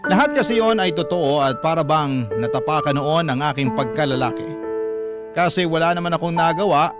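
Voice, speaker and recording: A man's voice: on the high side, brisk, lightly acted. A man playing a troubled young Filipino husband who narrates his life story earnestly, like a confession, in a radio drama. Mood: anguished. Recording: loud music under the voice.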